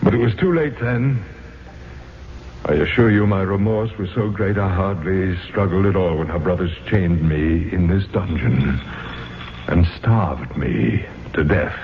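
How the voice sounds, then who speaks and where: A man's voice: deep voice, slight background noise. An actor playing a centuries-old, melancholy ghost of a nobleman in a 1970s radio drama, speaking in a grandiloquent, theatrical way. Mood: rueful. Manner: grandiloquent, slow theatrical delivery. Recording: muffled, phone-like vintage radio broadcast with music.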